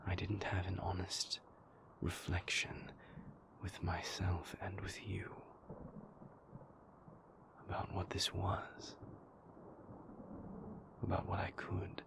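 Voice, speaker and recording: A man performs a remorseful vampire boyfriend in an intimate audio roleplay. His deep voice sinks into a gravelly, breathy half-whisper. He is earnest and guarded, placing each phrase slowly and carefully, with long pauses. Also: completely flat delivery, full character voice. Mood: earnest, reflective. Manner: halting, deliberate, measured phrasing with long pauses.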